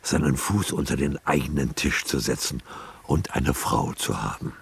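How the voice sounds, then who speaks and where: An older male narrator reads literary prose for a radio drama. His voice is extremely low, creaky and husky, yet moves expressively through each sentence, with soft music faintly behind. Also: lively intonation, moderate pace. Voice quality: gravelly, husky.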